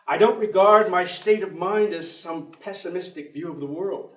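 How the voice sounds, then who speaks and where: A man's voice: high voice, moderate pace, fairly bright tone. A man on stage playing a bitter, articulate atheist professor who longs for death, in a theatrical declamatory delivery. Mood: cold conviction. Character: bleak, bitter, intellectual atheist male professor longing for death. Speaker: stage actor in a play. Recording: echoey theatre stage, distant microphone.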